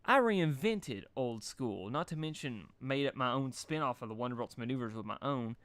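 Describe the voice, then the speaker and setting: A man voicing a brash, sporty flying pony girl, boastful and excited, in a story reading. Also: mid-range voice, normal speed, dry recording, close to an everyday voice. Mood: cocky. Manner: cocky, excited.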